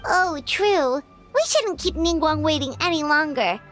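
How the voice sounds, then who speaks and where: Squeaky, bubbly little fairy companion. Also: female voice, expressive, a little slow, fairly bright tone, soft background music. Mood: chirpy. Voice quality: squeaky, childlike. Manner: bubbly, exclamatory, third-person self-reference. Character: small cheerful chatty childlike floating fairy companion. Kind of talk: video game cutscene acting.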